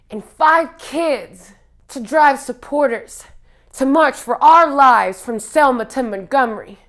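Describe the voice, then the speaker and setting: A woman performing a theatrical spoken-word monologue in a solemn, impassioned manner, with dramatic pauses. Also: sits high, a bit bright.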